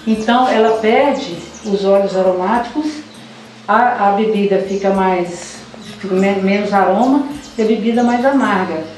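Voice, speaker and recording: A middle-aged woman giving an informal hands-on demonstration in a bright indoor room, talking straight to viewers. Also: mid-range voice, moderate pace, slight background noise. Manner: informal, addresses viewers directly with fillers. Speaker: coffee processing instructor. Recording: roomy, from a distance, music under.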